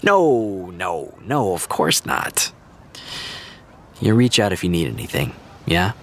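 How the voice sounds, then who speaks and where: An actor playing an easygoing, diplomatic TV writer who explains things in a reassuring, conversational way, in an audio drama. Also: male voice, deep voice, big pitch swings, slow pace, exaggerated voice. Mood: gentle. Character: easygoing, diplomatic TV writer consoling a young fan. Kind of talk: naturalistic audio drama dialogue.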